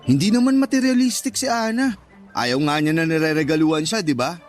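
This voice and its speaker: A man's voice: on the high side, lively intonation, brisk, faint music behind, close to an everyday voice. A man playing a teasing, blunt young co-worker and best friend in a radio drama, joking and scolding as he talks. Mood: advising. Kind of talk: Tagalog radio drama acting.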